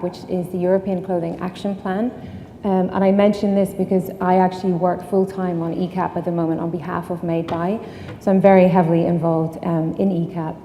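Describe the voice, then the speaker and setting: Irish woman giving a talk, lots of ums, dark mellow voice, roomy hall echo. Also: lowish voice. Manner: many ums, steady explaining. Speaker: conference speaker. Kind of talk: conference talk. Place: conference hall. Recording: echoey hall, distant, faint noise.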